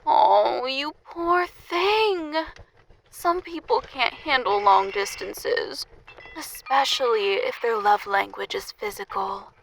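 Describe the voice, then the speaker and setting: A woman's voice: fairly high pitch, expressive, takes it slowly, a bit bright, exaggerated voice, rough recording. A woman playing a flirtatious, sultry seductress in an audio drama, teasing and full of cooing sympathy. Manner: sympathetic, teasing, breathy whispers at times.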